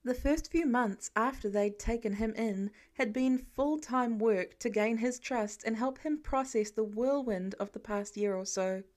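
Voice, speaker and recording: A woman's voice: a little high, a little slow, hardly any pauses, darkish tone. A woman reading scripted fiction aloud as the narrator of an audio story. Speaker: fan fiction podfic narrator.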